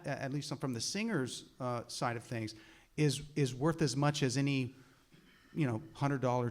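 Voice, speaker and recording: A man explaining a proposal earnestly as a panelist at a studio Q&A. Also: medium pitch. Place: studio room with an audience.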